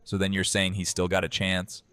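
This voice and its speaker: A man playing a gruff, teasing tough guy with blunt, folksy phrasing in an audio drama. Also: low voice, monotone, normal speed, fairly bright tone, no room sound. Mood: probing. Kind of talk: fan audio drama acting.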